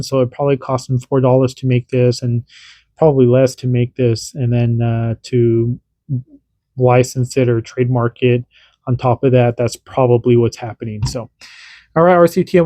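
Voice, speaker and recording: A man's voice: medium pitch, dark tone, no echo. A man and parent, hosting a casual hands-on radio demo and explaining in an informal, rambling way that often restarts sentences. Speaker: radio hobbyist host.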